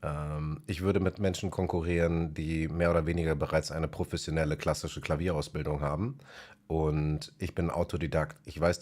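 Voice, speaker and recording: A man's voice: very deep voice, darkish tone. A man chatting casually in his native German on his music channel, thinking aloud with many filler sounds. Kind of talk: casual spoken vlog.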